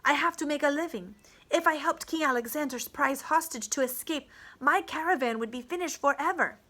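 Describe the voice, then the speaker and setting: A woman acts out a male desert caravan leader from an adventure storybook. He has a foreign accent and a calm, mercenary, matter-of-fact manner, voiced somewhat high. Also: fairly quick. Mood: apologetic.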